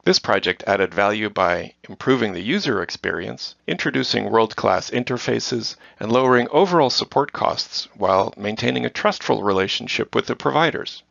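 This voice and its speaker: A middle-aged man from the Netherlands answers in a measured, prepared way, as if reading. His voice sits low with a gravelly edge. The recording is dull and dry, with little room sound. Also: lively intonation, a bit bright, no room sound. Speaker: guest. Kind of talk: scripted corporate interview.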